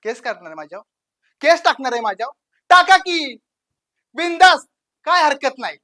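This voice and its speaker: Casting: woman as brazen village gangster, higher-pitched voice, rural western Maharashtra Marathi, taunting villain monologue. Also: very animated, normal speed, heavily acted. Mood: defiant. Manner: taunting, swaggering, rhetorical questions, swinging from hushed to loud. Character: brazen, menacing village gangster, child of the village chief. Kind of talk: dramatic villain audition monologue.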